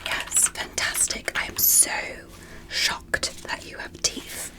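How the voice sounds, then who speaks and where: Slow whispering ASMR woman. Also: poor audio. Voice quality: soft, breathy whisper. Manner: gentle, slow, deliberate, with short measured phrases. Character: gentle, fascinated alien doctor examining a human. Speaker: ASMR performer. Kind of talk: ASMR medical roleplay as a curious alien examiner.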